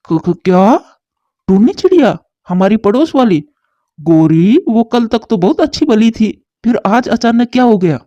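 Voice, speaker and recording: A woman putting on a worried male crow from a cartoon story. He speaks low and hushed, stumbling over his first words. The sound is dull and dry. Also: animated, a little slow, no room sound. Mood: shocked.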